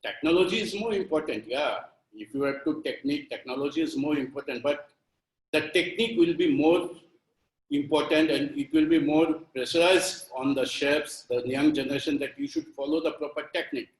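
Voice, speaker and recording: A man's voice: on the high side, brisk. A man, a chef on a panel, making his points emphatically and repeating them. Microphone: video call. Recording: echoey room on a video call.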